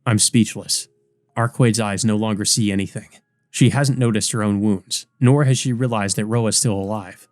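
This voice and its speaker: A man's voice: lowish voice, fairly even intonation, normal speed, no room sound. A man reading a visual novel aloud as dramatic scripted narration. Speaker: let's play narrator.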